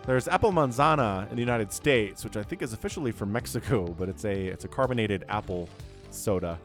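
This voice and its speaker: A man's voice: lowish voice, brisk, soft background music. A man with a congested voice from a cold, casting a strategy game match in a chatty, joking way. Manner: chatty, joking asides, excited at fights. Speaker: StarCraft caster and show host. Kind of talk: casual game commentary.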